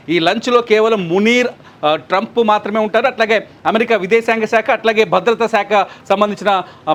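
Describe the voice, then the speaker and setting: Male news analyst, high pitch, rapid pace, explanatory commentary, emphatic repetition. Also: a bit bright.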